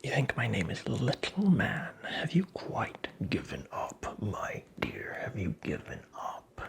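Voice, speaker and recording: A tricksy, creepy fairy-tale imp played by an ASMR performer whispers with a gravelly rasp. The delivery is slow and theatrical, full of taunting, mock courtesy. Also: medium pitch, wildly swooping pitch, heavily acted. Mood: mocking, indignant. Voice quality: breathy whisper with gravelly creak.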